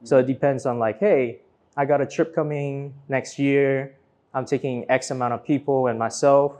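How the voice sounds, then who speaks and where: A man from Vietnam, raised partly in Florida, answers as an interview guest. His voice sits at a medium pitch. He talks casually and lays out his explanations one step at a time. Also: fairly even intonation, moderate pace, darkish tone. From born in Vietnam, grew up in Florida from age nine. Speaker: interview guest, business owner.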